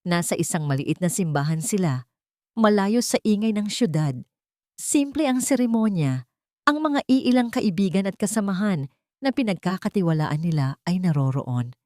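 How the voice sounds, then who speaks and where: A woman storyteller reads her own romance story in a gentle, measured, heartfelt way. Her voice sits slightly low. The sound is dry and close, with no room echo. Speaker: storyteller and writer. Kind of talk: scripted story narration.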